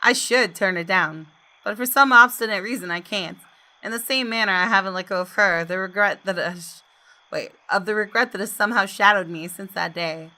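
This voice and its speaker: A woman's voice: medium pitch, fairly quick, pushed and bright. A woman gaming YouTuber reading visual novel narration aloud expressively, with casual asides.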